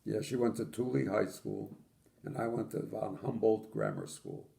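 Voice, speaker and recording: A man's voice: on the low side, wildly swooping pitch, normal speed, vocal fry, warm tone. An older man from Poland, telling his childhood memories in an oral history interview with dry, matter-of-fact humor.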